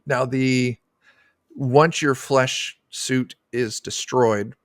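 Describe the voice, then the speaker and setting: A middle-aged man talks in an informal, rambling and enthusiastic way. His voice has an ordinary male pitch and is recorded dry, as on an indoor video call. He sounds like a designer explaining his game. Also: fairly even intonation, drawn-out words, no room sound. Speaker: game designer, podcast guest. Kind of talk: casual explanatory chat about a tabletop game.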